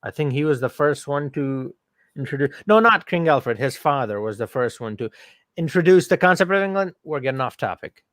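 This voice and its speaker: A man's voice: fairly high pitch, lively intonation, brisk, fairly bright tone, no echo, clean studio sound. A man co-hosting a cricket watchalong stream, chatting casually, rambling and correcting himself, laughing often. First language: not Urdu. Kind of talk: casual chat over a live stream.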